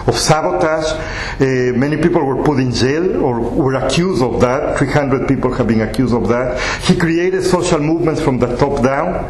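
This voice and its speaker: A man with a fairly high voice lectures in a measured, explanatory way, his phrasing slightly non-native. He is recorded in an echoey lecture hall. Faint room noise sits behind him. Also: darkish tone. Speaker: guest lecturer. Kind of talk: lecture and audience Q&A.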